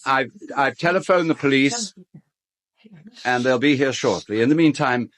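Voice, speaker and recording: An actor playing a fussy, courteous middle-aged English gentleman in a radio drama, speaking formally and hesitantly. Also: mid-range voice, moderate pace, natural voice, poor audio. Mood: flustered announcing. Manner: hesitant, formal, well-spoken. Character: fussy, courteous gentleman host of an island castle. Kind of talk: British radio drama acting.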